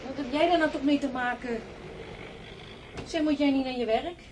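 A woman's voice: high-pitched, normal speed, lightly acted. An actress playing a tired, sceptical wife and mother in a naturalistic radio play, nagging and dismissive. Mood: weary, grumbling. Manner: scolding, dismissive. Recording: noisy old radio drama recording.

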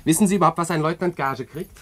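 An actor in a radio play voicing a proud, earnest young cavalry lieutenant, polite and emphatic. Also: male voice, a little high, fast, fairly bright tone, dry recording, close to an everyday voice. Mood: exasperated, ironic.

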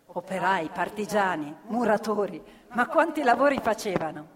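A woman's voice: mid-range voice, expressive, moderate pace. A woman storytelling dramatically in radio theatre as an actor, with pointed, emphatic delivery. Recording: reverberant hall, live stage performance.

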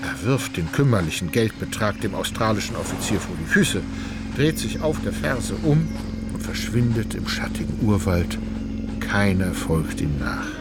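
A man narrating a literary radio play in a measured, precise, faintly ironic manner. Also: lowish voice, big pitch swings, loud music behind the voice.

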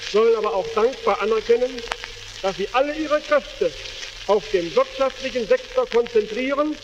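A man playing a cold Nazi official delivering a formal, declamatory political address in a radio drama. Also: very high-pitched. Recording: dull, noisy, long hall reverb.

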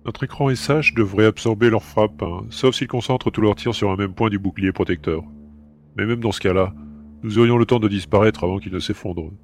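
Actor as assured male gunnery officer, sci-fi audio drama, lowish register. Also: a little fast, natural voice. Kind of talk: amateur audio drama acting.

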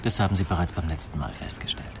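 A male actor as a stiff, precise academic under questioning. His voice is deep and pedantic, with clear ambient noise in this radio play. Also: fast talker, natural voice. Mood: stiff, defensive. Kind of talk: radio drama dialogue.

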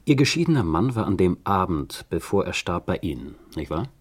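Deep, husky police inspector. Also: male voice, big pitch swings, heavily acted. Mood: calm, probing. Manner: polite, measured questioning. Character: calm, probing, courteous male police inspector. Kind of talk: German radio crime drama acting.

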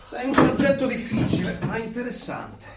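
An actor playing a coldly doctrinaire interrogator and torturer in a theatrical radio play, lecturing in a declamatory, menacing way. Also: male voice, extremely high pitch, sing-song, normal speed. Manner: declamatory, lecturing, menacing, with sudden loud bursts. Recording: roomy, distant miking with background noise.

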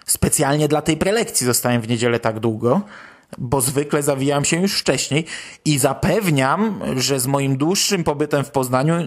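A man's voice: medium pitch, moderate pace. A man hosting a podcast, giving conversational commentary. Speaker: podcast host.

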